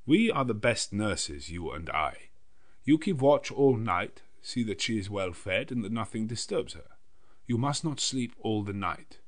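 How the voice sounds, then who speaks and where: A man's voice: on the low side, expressive, voice pushed up. A man voicing a commanding older professor in an audiobook, speaking with grave, emphatic deliberation and urgency. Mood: commanding, urgent. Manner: emphatic, deliberate, ominous warnings. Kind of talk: dramatised audiobook character dialogue.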